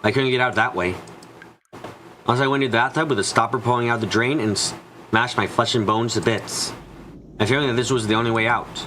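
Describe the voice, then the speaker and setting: A man's voice: medium pitch, brisk, bright, forceful tone, a little hiss or hum, close to an everyday voice. A man voicing an anxious, frightened narrator trapped and desperate, in a first-person visual novel fan dub. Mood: uneasy, brooding. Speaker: voice actor narrating.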